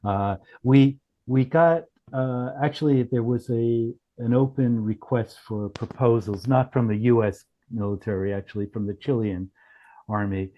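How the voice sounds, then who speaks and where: A man presenting as a company founder in a business pitch on a group call, speaking haltingly with frequent restarts. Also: fairly even intonation, dry recording, lo-fi sound. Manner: halting, often restarts phrases. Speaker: startup founder presenting his product.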